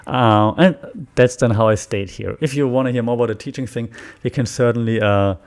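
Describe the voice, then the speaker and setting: A man's voice: medium pitch, brisk, clear, resonant tone. A man from Germany with a German accent, talking casually on his own podcast, rambling with many fillers and restarts. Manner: rambling, frequent fillers and restarts, laughs often. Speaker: podcast host. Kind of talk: casual solo podcast talk.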